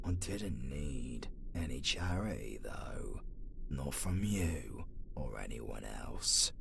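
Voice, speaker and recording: An actor playing a captive demon, defiant and gruff but tender, with a British accent and a hushed, breathy, colloquial delivery in an ASMR roleplay. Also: sits low, noisy background, heavily acted. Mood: bitter, defiant.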